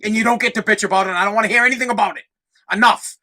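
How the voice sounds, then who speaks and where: A young man with a Boston accent hosting his own podcast, ranting loudly and profanely as he talks straight to his listeners. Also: high-pitched, fairly even intonation, rapid-fire. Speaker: podcast host. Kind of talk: solo podcast rant.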